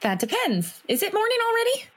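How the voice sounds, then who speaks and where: A woman's voice: very high-pitched, wildly swooping pitch, brisk, heavily acted. A woman playing a brash, determined young rebel in an audio drama, blunt and impassioned in her delivery. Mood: eager.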